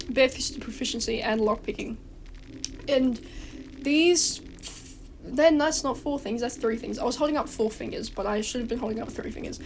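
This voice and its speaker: Congested woman with a gravelly voice. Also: on the high side, a little hiss or hum, rough recording. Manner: rambling, swears casually, often restarts sentences. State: congested from a cold. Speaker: gaming guide host. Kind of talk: casual rambling game walkthrough.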